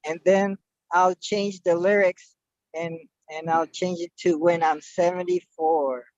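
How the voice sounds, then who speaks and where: Man, 60s, slow wry talker, dry dull recording. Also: high voice, fairly even intonation, darkish tone, no room sound. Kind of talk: casual group chat. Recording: dry and muffled.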